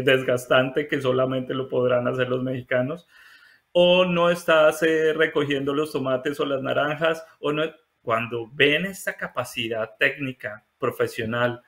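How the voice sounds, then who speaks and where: A man in his forties from Colombia, a mechanical engineer interviewed over a video call from his living room, speaking with many pauses and fillers. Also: medium pitch, gravelly, fairly bright tone. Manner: hesitant, many fillers and restarts. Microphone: laptop webcam video call.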